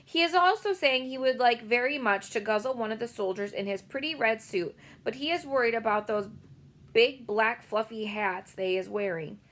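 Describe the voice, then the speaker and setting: A woman voicing a big, kindly male giant who talks in slow, muddled grammar. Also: fairly high pitch, a bit bright, slight background noise, voice pushed up, close to an everyday voice. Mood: earnest, rueful. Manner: mangled grammar, slow and emphatic.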